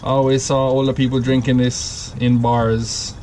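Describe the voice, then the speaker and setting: A man's voice: mid-range voice, little pitch movement, moderate pace, a little mellow, slight background noise. A man from Jamaica with a Jamaican accent, casually talking through a drink recipe in a rambling, self-correcting way. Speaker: home cocktail vlogger. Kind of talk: casual step-by-step recipe demo.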